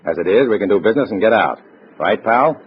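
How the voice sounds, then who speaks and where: An actor playing a tough, wary undercover agent in a 1950s radio drama, with a clipped, wry, hard-boiled delivery. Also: male voice, fairly low pitch, expressive, quick pace, slight background noise, natural voice. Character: tough, wary male undercover agent posing as communist comrade. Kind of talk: 1950s radio drama acting with first-person narration. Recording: muffled old radio recording, loud music.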